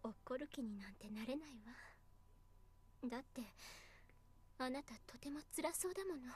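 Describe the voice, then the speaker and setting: A high-pitched, tender schoolteacher in a visual novel game. She is kind and motherly, soothing as she speaks, yet her words come quickly. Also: lively intonation, a little mellow, husky. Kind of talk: Japanese visual novel voice acting.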